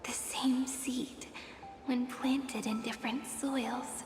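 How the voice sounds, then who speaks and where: Game cutscene role: dreamy, fading young woman, high-pitched, hushed and breathy, poetic and very unhurried. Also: level tone, background noise. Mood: tender. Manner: breathy, hushed, drifting into whispers. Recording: voice under loud string music.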